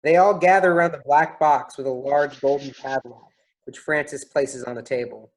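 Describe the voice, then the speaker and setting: A man's voice: mid-range voice, steady tone, normal speed, close to an everyday voice, rough recording. A man reading out stage directions as scripted narration for a play reading.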